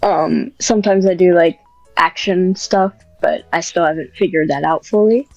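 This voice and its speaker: A woman's voice: lowish voice, moderate pace, a little mellow, dry recording. A woman guest on a podcast, talking casually and hesitantly about writing ASMR scripts, with lots of fillers and restarts. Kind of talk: casual interview chat. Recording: muffled, dry, faint music behind.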